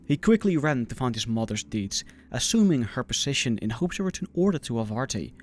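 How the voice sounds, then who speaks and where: A man from the Netherlands with a Dutch accent, reading a scripted fantasy story in a measured, dramatic way. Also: medium pitch, very animated. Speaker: story narrator. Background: music.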